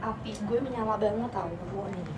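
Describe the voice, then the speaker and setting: A young woman with a Jakarta Betawi slang accent chatting casually with viewers on a live stream, playful and quick to laugh. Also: mid-range voice, heavy background noise. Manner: chatty, playful, laughs often, switches registers.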